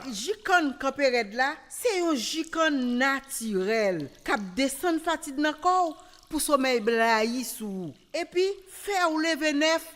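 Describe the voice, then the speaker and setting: A woman acting a chatty friend in a radio ad skit who briskly urges a home remedy on a tired friend. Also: high voice, animated, fairly bright tone. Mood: persuasive.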